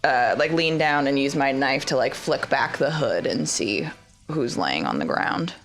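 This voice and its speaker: A woman's voice: rumbling low, expressive, a little slow. A woman chatting casually as a player in a tabletop roleplaying game, describing what her character does. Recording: loud music behind the voice. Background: loud score music.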